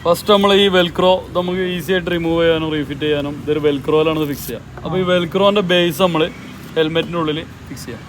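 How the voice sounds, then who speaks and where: A man's voice: on the high side, quick pace, noisy background. A man, a bike mechanic, walking step by step through fitting a helmet headset outdoors. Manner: methodical, walks through each step. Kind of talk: step-by-step installation guide.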